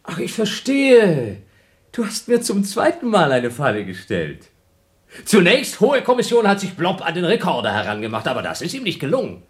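Impulsiver, spöttischer Astronaut, sprunghafte Tonlage. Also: male voice, high voice, wildly swooping pitch, normal speed. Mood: mocking outrage. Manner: argumentative, sarcastic, swinging from muttering to exclaiming. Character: impulsive, mocking astronaut quarrelling with his distrusted crewmate. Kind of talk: German radio play acting.

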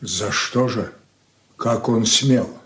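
A man's voice: on the low side, extremely slow, a bit bright. An actor in a Soviet radio drama playing a stern, hard merchant father, curt, weighty and commanding. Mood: indignant, suspicious.

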